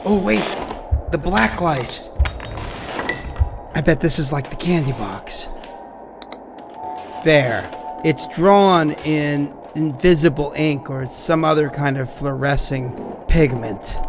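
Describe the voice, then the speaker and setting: An actor playing an anxious, self-doubting ordinary man facing horror in an audio drama, talking haltingly like someone leaving a recorded message. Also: a little high, muffled recording, music in the background. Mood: urgent realisation. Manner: halting, nervous, conversational.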